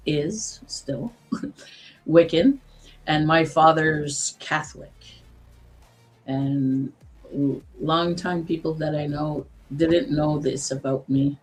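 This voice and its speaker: A woman with a husky, slightly breathy smoker's voice talks at an unhurried pace. She chats casually as a guest on an online call, halting and laughing softly between phrases, with faint music in the background. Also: low-pitched, low-quality recording. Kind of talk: casual chat.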